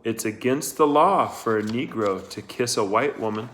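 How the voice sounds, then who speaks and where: A man voicing a drunken, menacing small-town sheriff in a storybook, leering in a slow drawl. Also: mid-range voice, fairly bright tone, natural voice. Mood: smug.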